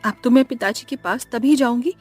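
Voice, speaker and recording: A woman voicing a defiant young policewoman in an animated horror story, husky and forceful. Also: fairly high pitch, a little fast, voice pushed up, lightly acted. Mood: firm resolve. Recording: music behind the voice.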